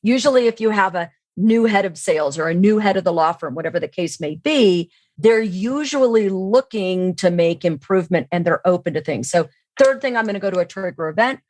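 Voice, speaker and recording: A woman's voice: lowish voice, animated, brisk, hardly any pauses, darkish tone, dry recording. A woman speaking as an expert interview guest, explaining her points conversationally with emphasis on key words. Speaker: podcast guest, sales trainer and keynote speaker.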